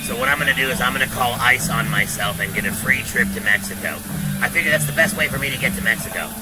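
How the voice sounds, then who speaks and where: A man's voice: mid-range voice, quick pace, heavy background noise. A man hosting a casual streamed show, riffing through a loose comic monologue and repeating his lines. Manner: loose, joking, repeats himself. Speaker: show host.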